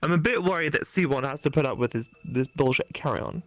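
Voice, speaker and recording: A woman's voice: low-pitched, quick pace, fairly bright tone, no room sound. A woman giving casual, blunt and sarcastic co-commentary on a let's play, swearing freely.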